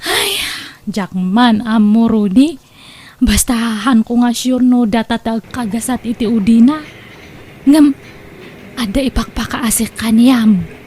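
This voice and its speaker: High young female voice, acted by a woman, Ilocano accent, coy and secretive, comedy drama, noisy recording. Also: slow pace, a little mellow. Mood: coy, evasive. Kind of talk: Ilocano comedy radio-style drama acting.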